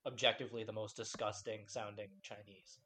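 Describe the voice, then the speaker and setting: A man's voice: lowish voice, little pitch movement, fairly quick, sharp tone. A man hosting a podcast in a casual chat, rambling and playful with lots of restarts. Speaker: podcast host.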